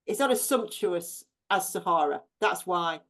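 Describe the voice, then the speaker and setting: A woman's voice: lowish voice, lively intonation, unhurried, a bit bright. A woman who gardens for a living talks about her plants over a video call. She is chatty and easygoing, and her sentences break off and restart around little ums. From England. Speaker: professional gardener, guest.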